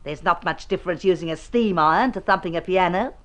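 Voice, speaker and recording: A woman's voice: lowish voice, fast, fairly bright tone, natural voice. An Australian actress playing a blunt, practical older landlady in a mid-century radio drama, dry, brusque and lecturing. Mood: blunt, exasperated.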